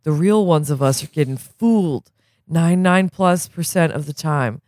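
A man's voice: fairly high pitch, moderate pace, darkish tone, no echo, studio quality, natural voice. A man voicing a ragged, paranoid addict from a novel, urgent and confiding, with slangy, insistent talk. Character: ragged paranoid male addict, urgent, confiding, convinced people are machines.

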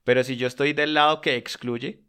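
A man's voice: mid-range voice, steady tone, moderate pace, bright, forceful tone, clear, resonant tone. Reflective man, podcast co-host. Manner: reflective, agrees briefly while the other host talks. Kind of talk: casual chat.

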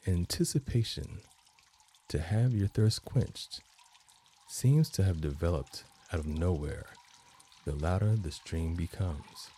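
A man narrating a guided meditation as host, in measured phrases with long pauses between them. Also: low-pitched, animated, mellow, slight background noise.

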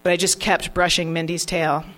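A woman reading a first-person prose story aloud in a steady, even narration. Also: lowish voice, normal speed. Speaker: fiction reader.

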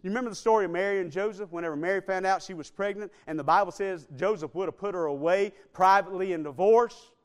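Male preacher, high clear resonant voice, surges loud then drops to hushed pleas. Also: fast talker, few pauses. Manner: swings between loud shouted build-ups and soft low appeals. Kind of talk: sermon.

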